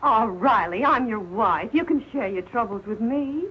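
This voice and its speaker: A woman's voice: a little high, lively intonation, moderate pace, lightly acted. Woman acting a no-nonsense sitcom housewife, muffled recording. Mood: exasperated. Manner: pointed, sarcastic, scolding. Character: sharp, suspicious, no-nonsense housewife and mother. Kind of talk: 1940s television sitcom acting. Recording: muffled, noisy old 1940s TV soundtrack.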